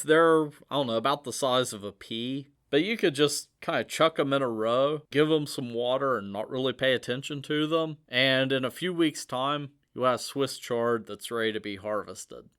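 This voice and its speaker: A man's voice: mid-range voice, fairly bright tone, clean tone. A man hosting a casual gardening podcast, chatty and easygoing, who laughs at his own asides. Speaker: host.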